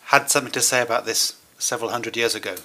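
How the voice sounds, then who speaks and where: A man interpreting a German speech into English at a conference, with measured, hesitant phrasing and pauses. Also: medium pitch, steady tone, pushed and bright.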